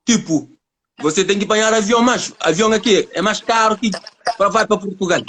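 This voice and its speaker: A young man from Cape Verde hosting a casual live-stream chat, talking in halting bits and repeating words. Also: high-pitched, fairly bright tone. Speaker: livestream host.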